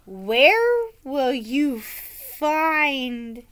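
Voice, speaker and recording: A woman voicing a sharp, suspicious village mother from a children's storybook, snappy, wary and theatrical. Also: high voice, very animated, very slow, heavily acted. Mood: muttering, stalling. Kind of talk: children's storybook read-aloud character voice.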